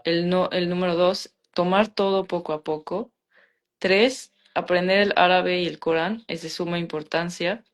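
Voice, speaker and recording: A woman's voice: fairly low pitch, steady tone, moderate pace. A woman co-hosting a casual live-stream chat, talking in a conversational way with many fillers and tag questions, over a video call. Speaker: co-host of a live talk show.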